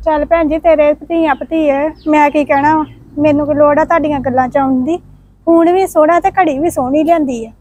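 A woman playing a young wife who is polite out loud but grumbles about her sister-in-law behind her back. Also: sits high, steady tone, fairly quick, natural voice. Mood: placating. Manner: politely placating aloud, muttering grudges aside. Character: resentful young wife, sweet to faces, bitter behind backs. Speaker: wife of the brother. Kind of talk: village family drama acting.

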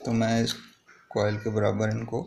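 A man's voice: lowish voice, monotone, moderate pace, vocal fry. A man explaining a hands-on repair step by step in a plain, improvised way, like a tutorial host. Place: indoor repair workbench. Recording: noisy home-made recording with clicks.

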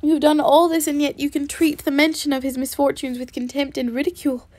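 A woman's voice: sits high, fairly even intonation, a little fast, dry recording, lightly acted. Elizabeth, a woman reading a spirited indignant young lady.

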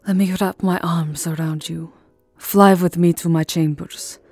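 A woman playing a tender, lovesick vampire countess in an intimate ASMR roleplay, speaking softly and often dropping into a whisper, with formal, wistful endearments. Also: on the low side, steady tone, a little slow. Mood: tender, solemn. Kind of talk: ASMR roleplay, soft intimate romantic acting. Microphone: close microphone, dry. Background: music.

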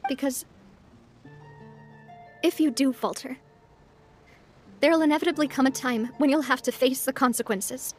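A woman plays a gracious young female leader from an animated game. The voice is high and gentle, earnest and cheerful, telling things in a measured way over faint music. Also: natural voice. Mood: earnest, wistful. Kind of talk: animated video game voice acting. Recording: soft music behind the voice. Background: soft game music.

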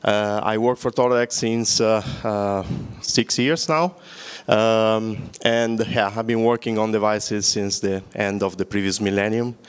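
A man's voice: on the low side, little pitch movement, a little slow, smooth. A man with an Italian accent presenting at a conference in a large hall, joking and quizzing the audience through a handheld microphone. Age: older. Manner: joking, engages audience with questions. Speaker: conference speaker. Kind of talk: conference talk. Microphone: handheld mic.

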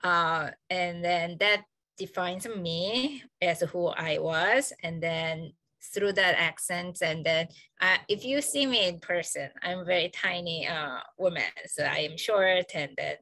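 A woman from South Korea with a Korean accent, speaking on a video call. Her voice is bright and a little low, and the sound is close and dry. She talks earnestly and reflectively, pausing on fillers. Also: no room sound. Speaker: associate professor, panelist. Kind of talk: panel discussion on video call.

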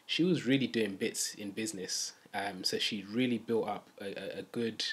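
A man's voice: on the low side, moderate pace. A young man with a London accent, talking casually as co-host of a video. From East London, UK. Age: young adult. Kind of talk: casual conversational talk. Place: small furnished room, indoors.

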